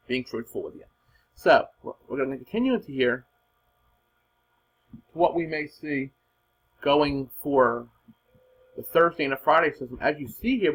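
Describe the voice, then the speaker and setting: A man giving informal, unscripted weather forecast commentary in an emphatic, husky voice, repeating points for stress. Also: on the high side, a bit bright, poor audio.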